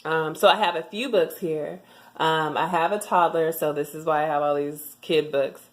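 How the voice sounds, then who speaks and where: Young woman, low voice, relaxed vlog tutorial, chatty and halting with ums. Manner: chatty, with frequent ums and restarts. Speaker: vlogger sharing language tips. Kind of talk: casual tutorial talk to camera.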